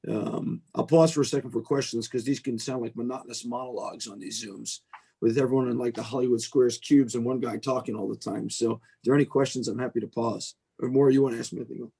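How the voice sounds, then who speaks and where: A man's voice: medium pitch, vocal fry, dark tone, breathy edge. A middle-aged man with an American accent speaks informally and discursively as a guest on a video call, with some self-deprecation. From United States. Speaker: venture capitalist, guest speaker.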